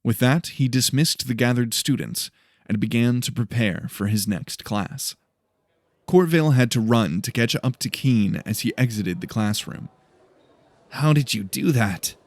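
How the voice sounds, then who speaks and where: A man's voice: mid-range voice, moderate pace, no echo. A man reading scripted story narration as the narrator of an audiobook.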